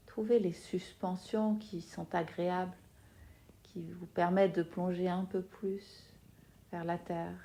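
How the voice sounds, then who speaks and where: A soft-spoken woman guiding a yoga nidra relaxation in a soothing manner, leaving long pauses between phrases. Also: deep voice, slight background noise. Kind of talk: guided meditation.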